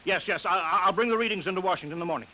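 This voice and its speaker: An actor playing a harried, pleading air base project chief in a 1950s radio drama, on a hissy old recording. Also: male voice, high voice, racing, sharp tone, rough recording. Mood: desperate, earnest.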